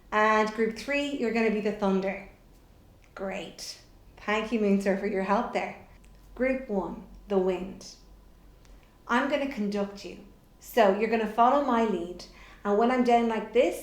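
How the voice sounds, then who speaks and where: A middle-aged woman leading an instructional drama lesson for children, giving encouraging step-by-step directions. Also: mid-range voice. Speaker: workshop presenter for children. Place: hallway-like room in a house, indoors. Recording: roomy, echoey indoor sound.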